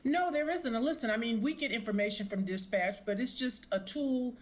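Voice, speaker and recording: A woman's voice: a little high, brisk. Emphatic middle-aged woman commentator. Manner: emphatic, opinionated. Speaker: retired police sergeant, expert commentator. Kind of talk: interview commentary.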